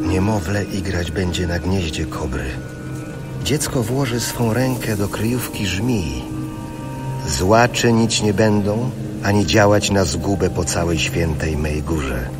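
A man narrating scripture in a solemn, dramatized reading. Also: low voice.

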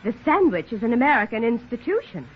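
An actress playing a brisk, self-assured woman customer in 1940s radio style. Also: a little high, big pitch swings, breathy edge. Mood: brisk, patronizing. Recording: muffled vintage radio, faint crowd noise.

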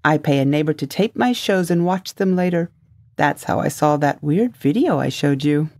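A woman's voice: low-pitched, expressive, moderate pace, darkish tone, no room sound, studio quality. A woman playing a secretive, breezy ex-wife in a dystopian audio drama.

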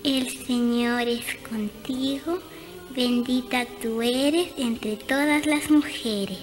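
Small, high-voiced shy girl. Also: fairly even intonation, slow, faint music behind, low-quality recording. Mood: reverent. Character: shy, eager younger girl learning to pray. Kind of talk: radio drama acting.

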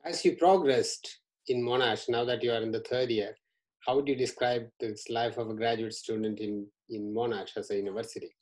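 Middle-aged male interviewer, calm and measured, speaking over a video call. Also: medium pitch, rough recording. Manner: measured, a little halting, repeats words. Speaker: interviewer, university staff. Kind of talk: interviewing a student. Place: small room at home, indoors. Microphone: video call webcam.

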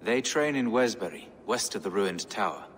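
An actor playing a pious, worried medieval bishop in a video game. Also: male voice, on the low side, animated, normal speed, fairly bright tone, long reverb tail, slight background noise. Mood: informative. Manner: weary, pious, informative. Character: worried portly medieval bishop, kindly ally. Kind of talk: video game cutscene acting.

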